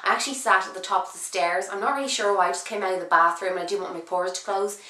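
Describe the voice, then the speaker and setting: A woman's voice: medium pitch, racing, sharp tone, roomy sound. Sick woman, chatty and rambling. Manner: chatty, rambling, frequent "um" and restarts. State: sick, voice husky and low. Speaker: beauty vlogger. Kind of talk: casual chat to camera.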